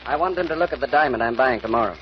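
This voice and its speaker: Actor as a confident husband on hissy old radio. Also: male voice, mid-range voice, racing, highs cut off, natural voice. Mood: brisk, self-assured. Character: confident, stubborn well-off husband buying a diamond. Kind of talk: 1940s radio mystery acting.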